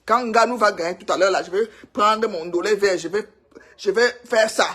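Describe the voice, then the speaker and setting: Cameroonian woman vlogger, husky breathy voice, excitable, chatty gossip to camera. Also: mid-range voice, moderate pace. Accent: Cameroonian French. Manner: emphatic, excitable, repeats herself for effect. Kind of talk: casual gossip monologue to viewers.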